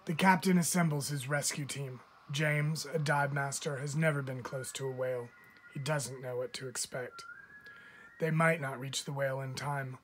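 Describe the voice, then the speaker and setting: A man's voice: medium pitch, fairly even intonation, moderate pace, a bit bright. Man reading a storybook, noisy recording. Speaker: teacher reading aloud. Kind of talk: children's picture book read-aloud. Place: indoors, book filmed from above.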